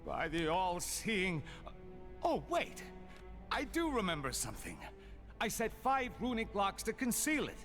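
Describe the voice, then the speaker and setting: A man's voice: high voice, wildly swooping pitch, unhurried, music in the background, heavily acted. A man playing a self-important, forgetful sorcerer hero in a video game, theatrical and fussy. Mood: flustered. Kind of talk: video game cutscene acting.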